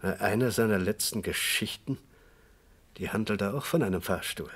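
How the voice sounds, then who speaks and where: A man's voice: fairly low pitch, a little slow, a bit bright, husky, full character voice. An actor playing a good-natured, talkative man reminiscing in conversation in a radio crime drama. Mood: puzzled recalling. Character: chatty, good-natured male host reminiscing about an old regular.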